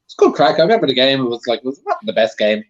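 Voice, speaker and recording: A man chatting casually as a panel guest, halting and repeating his words. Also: mid-range voice, big pitch swings. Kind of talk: casual chat. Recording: dull lo-fi audio.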